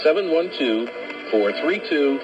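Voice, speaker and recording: A man's voice: fairly high pitch, animated, measured pace. A man reading a scripted radio station identification. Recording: muffled shortwave radio with music.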